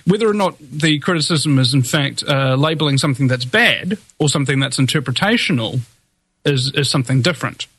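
A man's voice: on the high side, animated, brisk, a bit bright, breathy edge, no echo. A man hosting his own show, ranting defiantly in a solo monologue full of fillers and restarts, with a somewhat whiny voice. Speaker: podcast host.

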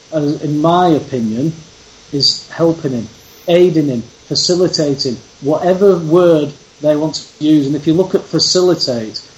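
A man's voice: on the high side, muffled recording, a little hiss or hum. A man speaking as a guest in a casual conversation, laying out his case in an earnest, insistent way.

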